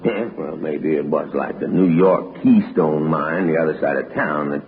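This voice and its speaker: A folksy, grumbling mine hand. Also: male voice, a bass voice, moderate pace. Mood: grumbling, explaining. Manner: rustic, drawling dialect with dropped grammar. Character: folksy old mine hand, cautious, grumbling, gruffly good-natured. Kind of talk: 1960s radio drama acting. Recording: noisy, tinny old radio broadcast.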